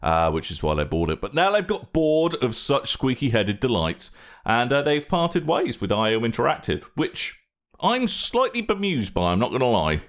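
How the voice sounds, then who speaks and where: A man's voice: medium pitch, moderate pace. A British man hosting a gaming podcast, joking around in loose, rambling chat. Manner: jokey, lively, rambling asides. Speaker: podcast host. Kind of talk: casual banter.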